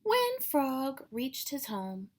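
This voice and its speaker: A woman's voice: sits high, huge pitch swings, drawn-out words. A woman teacher reading a children's story aloud, expressively and dramatically, with explanatory asides. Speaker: elementary school teacher.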